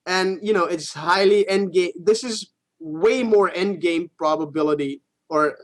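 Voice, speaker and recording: A man's voice: high voice, unhurried. A man co-hosting a gaming show, chatting casually and giving blunt opinions with some casual swearing.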